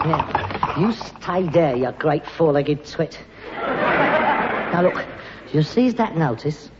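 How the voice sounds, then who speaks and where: A man's voice: fairly high pitch, very animated, slow pace. An actor playing a grumbling, pretentious London rag-and-bone man, an exasperated grown-up son, in a 1960s radio sitcom on a dull, noisy old recording. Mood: bossy, pompous. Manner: muttering, exasperated, putting on airs.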